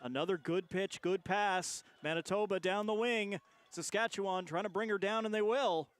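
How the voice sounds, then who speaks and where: A man's voice: high voice, normal speed. A man giving live play-by-play sports commentary on a rugby match.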